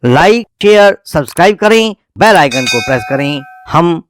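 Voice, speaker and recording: A man with an Indian accent reads a scripted promotional narration in formal, measured phrases. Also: on the high side, animated, background noise. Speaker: channel host.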